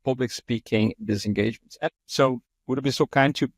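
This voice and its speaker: A man's voice: medium pitch, brisk, no echo. A man from Brazil with a Brazilian Portuguese accent, hosting an interview in English as a second language, effusive and often restarting his sentences. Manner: effusive, frequent restarts and repeated words. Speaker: podcast host. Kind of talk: conversational interview.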